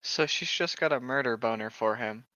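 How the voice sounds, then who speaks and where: A man's voice: mid-range voice, normal speed, bright, forceful tone, no echo, dull audio. A man in casual banter, reading aloud and reacting with joking, mock-exasperated sarcasm. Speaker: co-host reacting to online horror fiction.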